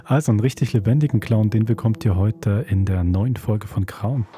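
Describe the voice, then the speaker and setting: A man hosting a horror podcast, telling a scripted story in a measured, suspense-building way. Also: on the low side, music in the background. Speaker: podcast host and producer.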